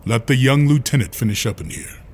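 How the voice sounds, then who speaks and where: A man's voice: mid-range voice, animated, brisk, a little mellow, slight background noise, close to an everyday voice. A man playing a wry, urbane, authoritative senior admiral in a science fiction audio drama. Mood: amused, urbane.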